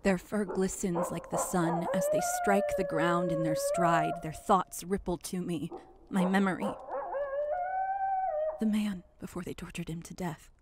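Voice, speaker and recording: A woman narrating a first-person horror story dramatically, in halting, intense fragments, with a gravelly, creaky voice. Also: on the low side, very animated, very noisy.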